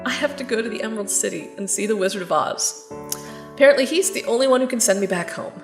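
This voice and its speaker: A woman's voice: mid-range voice, lively intonation, fast talker, natural voice. A woman playing a lost young Kansas girl, bossy, exasperated and overwhelmed, in an audio drama. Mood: downcast. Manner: urgent, exasperated, sarcastic. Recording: roomy echo, music underneath. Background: music.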